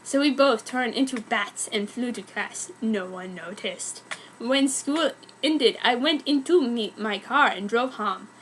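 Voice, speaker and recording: A woman's voice: fairly high pitch, measured pace, fairly bright tone, a little hiss or hum, rough recording. A woman giving a dramatic comic reading of fan fiction, amused yet mock-serious. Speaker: reader. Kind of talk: dramatic comic narration of a fanfic.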